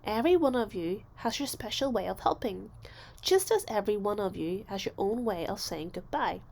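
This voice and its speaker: A woman voicing a kind, patient, encouraging schoolteacher in a storybook, gentle and deliberate in her reassurance. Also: medium pitch, animated, gravelly. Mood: touched, tender.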